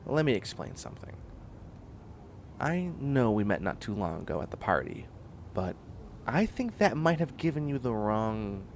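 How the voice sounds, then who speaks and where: A man's voice: on the low side, slight background noise, full character voice. A man playing a tired, prickly, bookish biotech student in a sci-fi comedy audio roleplay, with a wry, fussy, rambling delivery. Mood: guarded, explaining. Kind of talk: scripted comedic audio roleplay, one side of a conversation.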